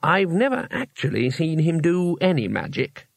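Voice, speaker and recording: A man's voice: fairly high pitch, sing-song, moderate pace, gravelly, dry recording, clean studio sound, voice pushed up, exaggerated voice. A man voicing a naive, chatty male tourist in a storybook, polite and earnest with a hesitant way of explaining. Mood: confiding.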